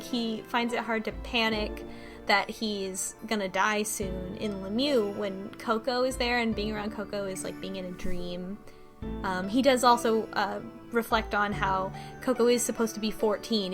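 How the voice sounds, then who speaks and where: A woman co-hosting a podcast, giving a casual, rambling recap with animated retellings and music behind her. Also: a little high. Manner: animated retelling with mock quotes. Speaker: podcast co-host recapping a game.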